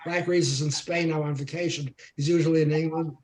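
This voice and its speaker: A man hosting an online song circle, chatting casually and haltingly as he organizes people over a computer mic. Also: on the high side, steady tone. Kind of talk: casual chat on a group video call. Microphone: computer mic on a video call.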